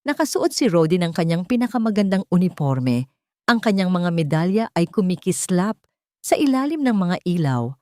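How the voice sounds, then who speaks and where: Woman story narrator, lowish pitch, dramatic scripted read, very dry recording. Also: a little mellow, no room sound.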